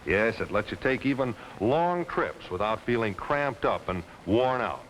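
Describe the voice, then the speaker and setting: Animated 1950s male TV pitchman. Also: measured pace. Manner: folksy, chatty salesmanship. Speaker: commercial announcer. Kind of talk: 1950s live TV car commercial pitch. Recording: noisy old 1950s television soundtrack.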